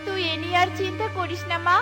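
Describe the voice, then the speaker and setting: Woman voicing a high-pitched gentle mother bird. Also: flat delivery, quick pace. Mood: gentle, reassuring. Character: gentle, honest, poor mother bird, patient and pleading. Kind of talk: Bengali fairy-tale cartoon dubbing. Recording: music bed behind the voice.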